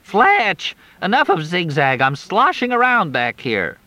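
Male narrator voicing a shrill, panicky young woman passenger, lively and bright. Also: unhurried, no echo, full character voice. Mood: complaining.

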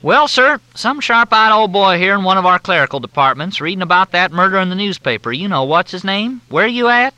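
Man putting on a high-pitched Southern major, thick drawl, lively and loud, bright sharp voice. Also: brisk, few pauses, no room sound, exaggerated voice, low-quality recording. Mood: folksy, chatty. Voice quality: thick drawl, everything sounds like a question. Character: drawling Southern army major, overly courteous.

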